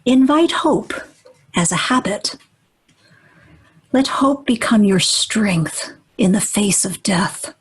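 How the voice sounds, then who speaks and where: A woman leading a guided meditation in a gentle, deliberate manner, with long pauses and a breathy, husky voice that often nears a whisper. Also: mid-range voice, lively intonation.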